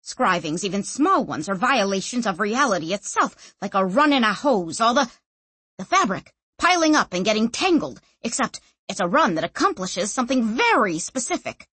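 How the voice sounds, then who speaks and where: An intense male inventor voiced by a woman in an audiobook, blustering and emphatic. The voice is husky and swoops widely, stumbling over repeated words. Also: on the high side, big pitch swings, measured pace, fairly bright tone, dry recording, exaggerated voice. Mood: excited ranting. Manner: ranting, emphatic, stammering repeats.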